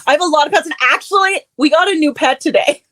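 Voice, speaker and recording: Chatty middle-aged woman, german-born, highish voice, giggles a lot. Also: quick pace, fairly bright tone. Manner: chatty, laughs often. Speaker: guest author. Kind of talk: casual chat.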